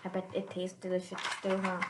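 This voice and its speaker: A woman's voice: fairly low pitch, completely flat delivery, brisk, reverberant, background noise. A woman reading a storybook aloud to young children, often turning to the listeners with chatty questions. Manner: addresses listeners directly with checking questions.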